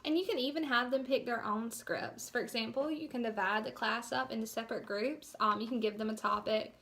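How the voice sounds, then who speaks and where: Young woman doing a class presentation, kinda high voice, lots of vocal fry, slightly unsure explaining. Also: brisk, a bit bright, slight background noise. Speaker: student teacher presenting. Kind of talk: informal instructional presentation.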